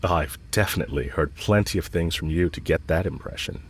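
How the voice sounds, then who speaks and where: A man's voice: sits low, fairly quick, a little hiss or hum, lightly acted. A man playing a cocky, smitten villain who talks casually, frankly and teasingly to the listener in a romantic audio roleplay. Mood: fond, flirtatious. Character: smitten male villain, cocky, teasing, bluntly confessing love.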